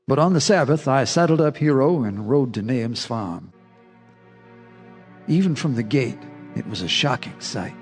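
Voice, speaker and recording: A man's voice: medium pitch, animated, normal speed, slightly rough, faint music behind. An actor playing a remorseful countryman who narrates a horror tale in a solemn, literary way for a radio drama. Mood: somber, haunted. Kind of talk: scripted first-person narration in radio drama.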